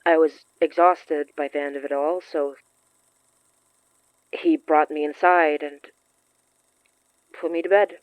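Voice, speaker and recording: Casting: shy, shaken young woman for a podcast drama; slow, halting confessional delivery, close and dry recording. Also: deep voice, steady tone, no echo, narrow, tinny audio. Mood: hesitant, confiding. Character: shaken young woman, earnest, shyly confessing to her best friend. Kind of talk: naturalistic fiction podcast acting.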